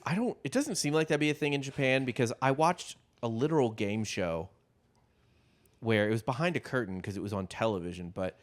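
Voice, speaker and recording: A man's voice: medium pitch, lively intonation, fairly quick. A man co-hosting a casual comedic history podcast, loose and profane, laughing easily as he tells the story. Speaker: podcast co-host telling the story.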